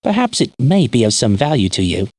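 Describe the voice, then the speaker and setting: A man's voice: mid-range voice, sing-song, brisk, darkish tone, slight background noise, lightly acted. A man playing a polite, puzzled innkeeper in a fantasy video game, deferential and old-fashioned in phrasing. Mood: pleased, musing. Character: polite, puzzled male innkeeper, anxious and chatty. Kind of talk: video game character acting.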